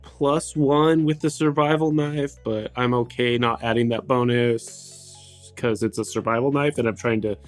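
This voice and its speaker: Man, medium pitch, tabletop game player, casual conversational delivery, background music. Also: steady tone, unhurried. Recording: music playing behind the voice.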